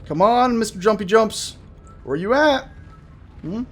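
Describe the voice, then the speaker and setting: A man giving casual, chatty let's-play commentary on a horror game, full of jokey asides and an excitable, jumpy manner. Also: sits high, measured pace. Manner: jokey, chatty asides to himself and the game's characters; jumpy, excitable, prone to swearing shouts. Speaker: gaming video host.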